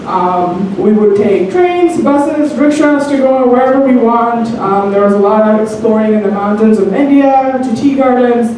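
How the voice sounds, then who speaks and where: A woman's voice: fairly high pitch, normal speed, few pauses, dark tone, a little hiss or hum. Earnest woman telling a story live. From Bangladesh. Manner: earnest, hesitant, many ums. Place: comedy theater stage. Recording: echoey theater from far off.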